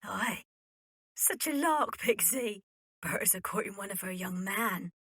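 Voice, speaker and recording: A woman acting a cheeky, eager young servant boy with a husky voice in an amateur radio drama. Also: medium pitch, expressive, a little slow, fairly bright tone, no echo, studio quality, heavily acted. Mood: mischievous. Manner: colloquial, cheeky.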